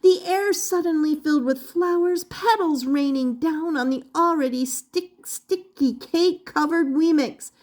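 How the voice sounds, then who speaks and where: An older woman reading a children's storybook aloud as an expressive, dramatic storyteller. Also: high voice.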